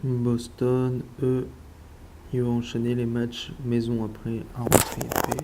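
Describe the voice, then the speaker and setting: A man with a stuffy cold talks slowly through sports numbers, muttering to himself and repeating words as he checks them. His low, mellow voice stays flat, and a faint hiss of room noise sits underneath. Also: rough recording. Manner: eating while talking. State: has a cold. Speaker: sports betting analyst. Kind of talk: casual thinking-aloud match analysis.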